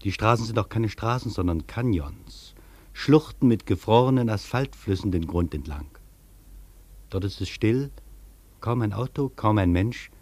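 A male actor playing a reflective traveller, delivering a literary radio monologue in a measured, poetic, declamatory way. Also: fairly low pitch, darkish tone, dry recording. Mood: hushed wonder. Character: reflective male traveller marvelling at a vast foreign city. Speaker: actor reading a traveller's first-person account in a radio feature.

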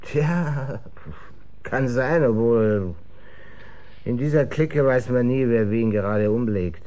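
Sardonic weary gentleman played by an actor, hungover, bitter, medium-pitched voice, radio drama. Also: takes it slowly, dull audio, close to an everyday voice. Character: hungover, cynical, bitter gentleman with young poet friend. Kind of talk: German radio drama acting.

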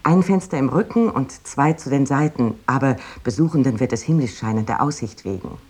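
An actress playing a proud, delighted 19th-century woman writer, reading out her letter in precise, expressive, old-fashioned literary diction. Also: very deep voice, a little fast, slightly rough, exaggerated voice. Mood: delighted, detailed.

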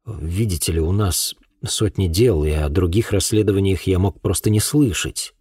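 Low, husky, earnest police investigator, read by a man. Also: moderate pace, darkish tone, no echo, studio quality. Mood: careful, questioning. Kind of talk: acted audiobook dialogue.

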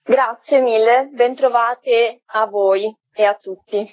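A woman's voice: a little high, fairly even intonation, slow, a little mellow, no echo. A woman curator explaining an exhibition as a guest in a radio interview. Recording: dry, dull lo-fi call.

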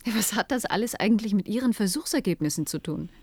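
A woman's voice: mid-range voice, expressive, fast talker, a little mellow, husky, dry recording. An actress playing a thoughtful female cybernetics scientist in a German science fiction radio play, hesitantly explaining and thinking aloud.

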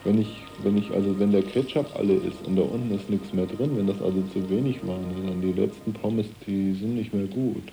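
A man with a gravelly, dark voice chatting casually and bluntly. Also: low-pitched, fairly even intonation, fairly quick, few pauses, soft background music, poor audio. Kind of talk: casual chat.

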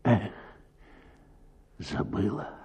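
A man's voice: on the low side, very animated, very slow, warm tone, breathy edge, voice pushed up, full character voice. A man reading a story, voicing a sentimental educated young woman who recites poetry with heartfelt feeling. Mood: wistful.

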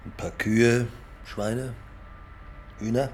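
An actor in a German radio drama playing a gruff middle-aged male farmer, terse, grudging and sarcastic. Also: medium pitch, steady tone, very slow, a little hiss or hum.

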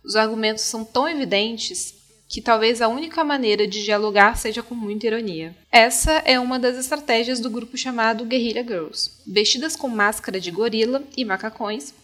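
A woman's voice: on the high side, brisk, a bit bright. A woman with a Brazilian accent presenting a podcast in scripted narration, articulate and opinionated.

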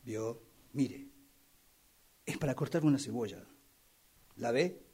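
A man's voice: mid-range voice, slow, darkish tone, breathy edge. A man acting in a radio drama workshop as a menacing yet soothing character, insistent and self-justifying, addressing his listener formally.

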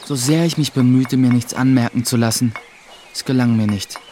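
An actor playing a young, guarded mill apprentice who narrates a radio play in a reflective, restrained way. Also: male voice, medium pitch, fairly even intonation, normal speed, dark tone, slight background noise, natural voice. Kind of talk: scripted first-person narration in a German radio play. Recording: music behind the voice.

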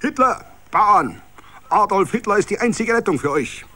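A man voicing a uniformed Nazi agitator preaching loudly at a village rally in a radio story. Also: high-pitched, animated, moderate pace, pitched above the performer's own voice, exaggerated voice. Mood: fervent agitation. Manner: loud, declamatory preaching.

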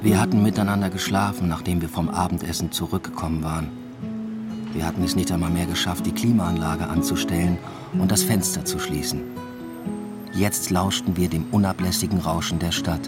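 Male actor as reflective academic, low voice, measured radio drama narration, understated, music behind. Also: fairly even intonation, lightly acted. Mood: reflective, matter-of-fact. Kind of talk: first-person radio drama narration with dialogue.

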